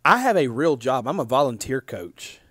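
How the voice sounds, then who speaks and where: A man, co-hosting a podcast with playful jokes and quick interjections. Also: medium pitch, big pitch swings, fairly bright tone, no room sound, clean studio sound. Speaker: podcast co-host. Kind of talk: casual banter.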